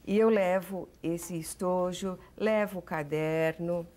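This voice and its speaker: Middle-aged Paulistana artist, talkative guest on a TV interview. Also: female voice, fairly low pitch, fairly even intonation, takes it slowly, dark tone. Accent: Paulistano Brazilian Portuguese. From São Paulo, Brazil. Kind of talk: relaxed interview answers.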